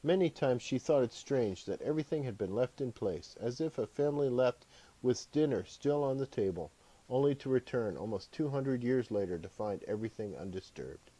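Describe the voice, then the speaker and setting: A man narrating his own fiction as an audiobook, in a measured storytelling delivery. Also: mid-range voice, lively intonation, warm tone, rough recording. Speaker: author reading own book aloud.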